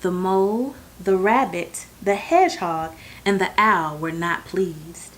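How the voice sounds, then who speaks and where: A woman reading a children's bedtime story aloud, with expressive, deliberate phrasing aimed at young listeners. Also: fairly low pitch.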